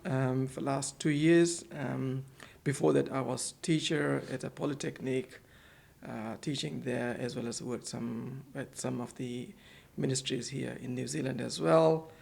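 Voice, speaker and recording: A man talks as a podcast guest in an office, at a middle pitch for a man. His voice has a gravelly, creaky edge. He speaks hesitantly and often repeats words and fills gaps while finding his phrasing. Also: fairly even intonation, unhurried, a little mellow. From Pakistan. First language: Urdu. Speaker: guest, center manager. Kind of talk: casual podcast interview.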